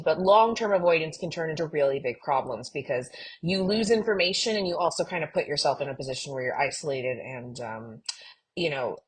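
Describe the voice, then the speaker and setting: A woman's voice: on the low side, a bit bright, slightly rough. A woman co-hosting a podcast in a casual, earnest and emphatic way, sprinkling her talk with "like". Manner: earnest, emphatic, uses "like" often. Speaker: podcast co-host. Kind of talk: casual fan discussion.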